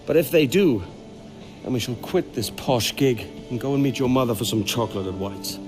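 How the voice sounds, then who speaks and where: A man's voice: medium pitch, animated, moderate pace, natural voice. An actor playing a father with dry humor and affection, in a video game cutscene. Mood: wry. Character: proud, playful father at a posh event. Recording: clear music behind.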